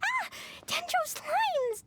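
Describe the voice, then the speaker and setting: A woman's voice: very high voice, very animated, drawn-out words, fairly bright tone, a little hiss or hum, full character voice. A woman playing a small, cheerful, forgetful little girl in a video game, eager and exclamatory as she thinks aloud. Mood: excited. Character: small childlike excitable cheerful forgetful girl treasure-hunter.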